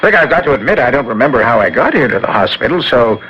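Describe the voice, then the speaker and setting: A man's voice: mid-range voice, very animated, quick pace, close to an everyday voice. An actor playing an easygoing, puzzled young patient in a radio drama, informal in his way of talking. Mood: cooperative. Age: young adult. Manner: informal, protesting. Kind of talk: old-time radio drama acting. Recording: muffled, faintly noisy old radio recording.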